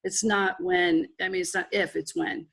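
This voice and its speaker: A middle-aged woman from Texas, a podcast guest, telling her story in a candid, driven way with quick restarts. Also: lowish voice, fairly even intonation, gravelly, fairly bright tone, husky. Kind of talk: casual interview storytelling.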